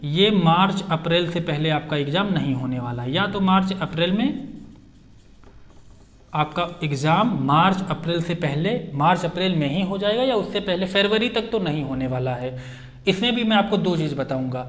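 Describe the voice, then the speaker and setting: A man's voice: fairly high pitch, lively intonation, moderate pace. A man speaking as a coaching teacher, giving an informal explanatory update and repeating key phrases for emphasis, with many English words mixed in.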